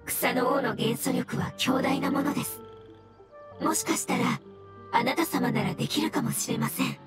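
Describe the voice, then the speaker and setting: A woman voicing a gentle, solemn mushroom spirit in an anime game, speaking formally and with earnest courtesy. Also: high-pitched, normal speed, lightly acted. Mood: serious, cautious. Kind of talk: Japanese anime game voice acting. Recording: game music behind.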